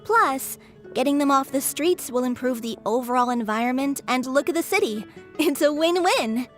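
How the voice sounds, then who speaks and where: A woman's voice: high voice, animated, normal speed, soft background music. A woman voicing an earnest, anxious young woman who rescues animals, speaking politely and eagerly as a game character. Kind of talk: English game dub voice acting.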